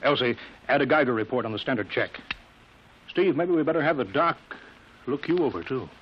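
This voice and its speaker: An actor in an old-time radio drama playing a brusque, impatient middle-aged boss of a rocket test field who barks clipped orders. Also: male voice, mid-range voice, lively intonation, brisk, slight background noise, close to an everyday voice. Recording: muffled old radio broadcast recording.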